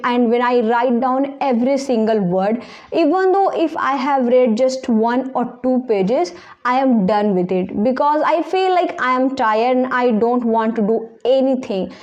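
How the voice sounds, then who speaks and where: A woman's voice: high voice, darkish tone, highs cut off. A woman with a strong Indian accent, giving personal advice to viewers as an English-learning presenter.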